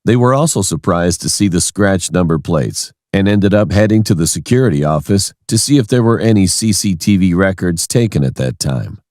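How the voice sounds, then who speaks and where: Deep-voiced man narrating a horror story. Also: animated, moderate pace, hardly any pauses, a little mellow, dry recording, clean studio sound. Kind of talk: scripted first-person horror story narration.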